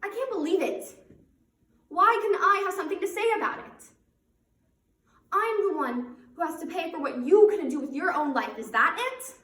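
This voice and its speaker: A woman's voice: extremely high pitch, lively intonation, moderate pace, a bit bright. A woman performing a dramatic stage monologue as a resentful, hurt teenage daughter, with aching, emphatic phrasing. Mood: bitter, hurt. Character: resentful, wounded teenage daughter confronting her mother. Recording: roomy echo, distant microphone.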